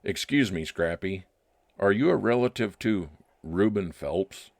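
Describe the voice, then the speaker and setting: A man playing a wry, nostalgic private detective, narrating his case deliberately in old time radio style. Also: low-pitched, lively intonation, vocal fry, a little mellow, no echo, full character voice. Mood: friendly, inquiring. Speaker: narrator and lead actor.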